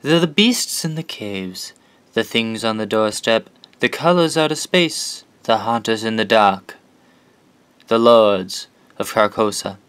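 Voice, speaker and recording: Guy as fervent young artist in an asylum, smooth mid voice, dry sound. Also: on the low side, animated, takes it slowly, fairly bright tone, no room sound. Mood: eerie, inviting. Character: eager, devoted young male painter in an asylum. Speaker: actor in an audio drama. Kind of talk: audio horror drama acting.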